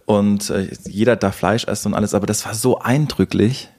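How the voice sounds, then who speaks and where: A man's voice: fairly low pitch, steady tone, a little fast, darkish tone. A man hosting a podcast in a casual, conversational way. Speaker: podcast host.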